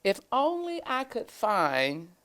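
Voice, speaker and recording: A woman's voice: a little high, wildly swooping pitch, slow, fairly bright tone, heavily acted. A woman voicing an earnest, questioning little boy in a children's storybook. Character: earnest, uncertain little boy seeking answers.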